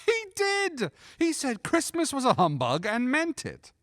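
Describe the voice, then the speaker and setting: A man playing a cheerful, good-hearted young gentleman in a radio drama, jovial and earnest. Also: very high voice, huge pitch swings, a little slow, full character voice. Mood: amused. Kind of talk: stage-style radio drama acting.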